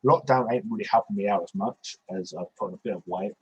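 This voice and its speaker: A man's voice: fairly low pitch, quick pace, darkish tone, slightly rough, highs cut off. A man, hosting a podcast over a video call from a small bedroom on a headset, rambling with many restarts. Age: 20s. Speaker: podcast host. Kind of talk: casual video-call chat.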